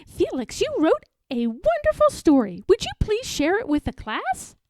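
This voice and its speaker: A woman voicing a kind, gentle primary school teacher in a storybook, full of encouragement. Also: very high-pitched, wildly swooping pitch, normal speed, no room sound, clean studio sound, pitched above the performer's own voice, full character voice. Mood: encouraging. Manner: gentle, praising.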